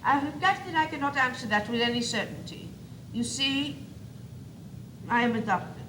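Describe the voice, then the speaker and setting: A woman's voice: high-pitched, moderate pace, lightly acted. A female student actor playing a haughty four-month-old baby boy doll with a put-on French accent in a stage comedy. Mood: smug, storytelling. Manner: pompous, theatrical. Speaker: student actor in a school play. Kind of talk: stage one-act comedy acting. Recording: echoey, noisy 1985 school video tape.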